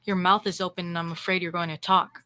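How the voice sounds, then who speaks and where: A woman's voice: fairly low pitch, little pitch movement, fast, sharp tone. A female performer playing an irritable, insulting male office boss with blustery, cutting delivery in old radio comedy style. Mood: irritated, scornful. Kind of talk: 1940s radio comedy acting.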